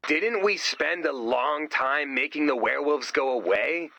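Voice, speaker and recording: A man voicing a goofy, flippant, theatrical sidekick in a video game, with a raspy voice. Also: a little high, measured pace, bright, forceful tone, no room sound, exaggerated voice. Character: goofy, excitable, deadpan-joking male sidekick.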